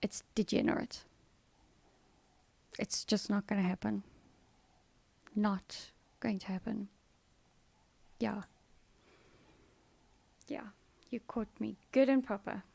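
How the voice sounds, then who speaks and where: A woman's voice: mid-range voice, fairly even intonation, takes it slowly, gravelly, pauses often, a little mellow. A woman live streamer chatting casually with her viewers in a soothing voice, playfully answering comments and firmly saying no. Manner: chatty and playful, breaking into firm repeated no's.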